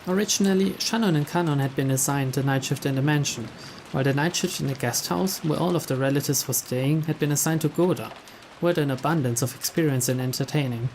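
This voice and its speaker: A man narrating a visual novel playthrough, reading the story aloud in a measured way with small stumbles. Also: fairly high pitch, darkish tone, rough recording. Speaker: narrator, game playthrough host. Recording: faint rain noise behind.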